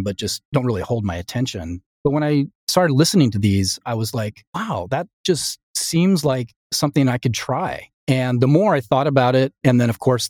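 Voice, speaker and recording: Male writer as podcast guest, fifties, lowish voice, informal and halting, close dry recording. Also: darkish tone, no room sound. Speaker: interview guest, writer and audio drama creator. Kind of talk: casual reflective conversation.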